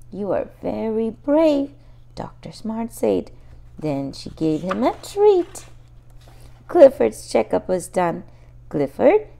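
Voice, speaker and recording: A woman's voice: medium pitch, gravelly, warm tone. A woman reading a picture book aloud for young children, expressively and speaking directly to the little listeners. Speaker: children's storybook reader and host.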